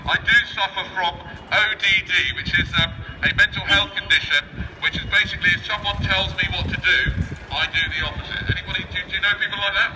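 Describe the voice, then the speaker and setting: A man's voice: sits high, steady tone, fast talker. A man giving an improvised, provocative street speech, cheekily firing rhetorical questions at passers-by through a megaphone. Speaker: street protester and speaker. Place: outdoors on a busy city street. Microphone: megaphone outdoors, picked up by a camera at a distance. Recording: distant megaphone speech, noisy windy street.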